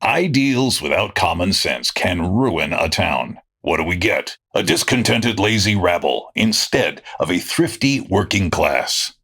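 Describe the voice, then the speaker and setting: Mean old rich villain, deep gravelly croak, curt and full of contempt, radio play. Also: male voice, very animated, unhurried, hardly any pauses, a bit bright, exaggerated voice. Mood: cold contempt. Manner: curt, scornful. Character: miserly, contemptuous old male banker, a villain. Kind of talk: old-time radio drama acting.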